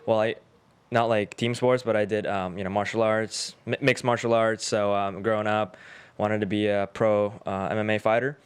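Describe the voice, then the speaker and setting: Smooth-voiced young man from Uzbekistan. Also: fairly low pitch, moderate pace. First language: Russian. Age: 20s. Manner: relaxed, rambling, many fillers and restarts. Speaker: podcast guest, realtor. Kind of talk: casual interview chat.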